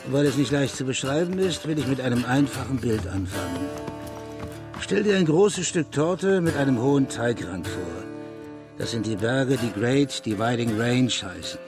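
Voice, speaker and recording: An actor playing a gruff, domineering outlaw in a German radio drama, lecturing and scornful. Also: male voice, medium pitch, expressive, moderate pace, over music, close to an everyday voice. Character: gruff, domineering male bushranger mentoring a boy.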